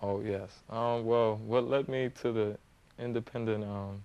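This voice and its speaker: Hesitant soft-spoken young man, smooth low voice. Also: fairly even intonation, measured pace, highs cut off. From Harlem, New York. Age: 20s. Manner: hesitant, many ums and you-knows, soft-spoken. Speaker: guest, young entrepreneur. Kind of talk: interview answers.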